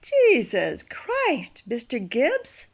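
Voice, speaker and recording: A woman playing a frightened but defiant captive in a horror radio drama, halting and emotional. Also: sits high, huge pitch swings, slightly rough, full character voice. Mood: furious. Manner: halting, gasping, swearing.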